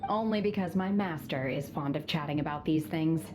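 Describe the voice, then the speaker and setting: A composed, aloof young woman, played by an actress, speaks in a low-set, formal and measured voice. She stays reserved and even as she explains things. Game music sits clearly behind her. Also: natural voice. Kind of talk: video game dialogue.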